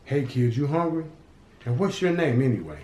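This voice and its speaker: A man voicing a calm, loyal henchman who keeps his boss cool and jokes dryly with a kid. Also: mid-range voice, normal speed, close to an everyday voice. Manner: easygoing, placating, dry humor. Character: calm loyal middle-aged male henchman. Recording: roomy echo.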